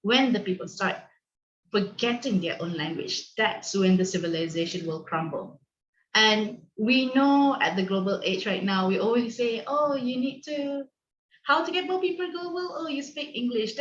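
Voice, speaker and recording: A woman from Malaysia hosts an online teacher discussion, thinking aloud with lots of fillers and pauses. Her voice comes over a dull, muffled video call line in an echoey room. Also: on the high side, slight background noise. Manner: reflective, hesitant with many fillers. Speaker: host.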